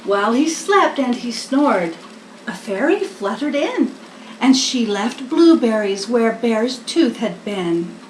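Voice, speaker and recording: High-voiced older woman reading slowly to children. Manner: deliberate, expressive, talking to small children. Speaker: storybook reader, host. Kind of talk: children's picture-book read-aloud. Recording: echoey room, distant mic, faint noise.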